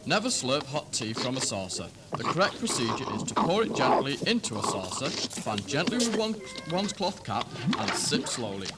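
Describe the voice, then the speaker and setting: A man's voice: medium pitch, sing-song, normal speed, hardly any pauses, very noisy, close to an everyday voice. A man playing an officious, earnest etiquette teacher, pompously precise and instructional, in a comedy radio play. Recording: 1970s hospital radio recording, music behind.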